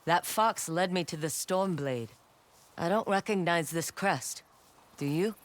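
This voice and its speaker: A man playing a stoic wandering warrior in a video game cutscene, speaking frankly and directly with quiet respect. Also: sits high, measured pace. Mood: curious, guarded.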